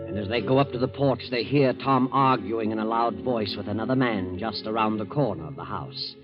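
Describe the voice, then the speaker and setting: An actor as the narrator of a 1940s radio crime drama, deliberate and dramatic. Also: male voice, on the low side, lightly acted. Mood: suspenseful. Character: ominous storytelling narrator of a crime tale. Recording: muffled 1940s radio recording. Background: music.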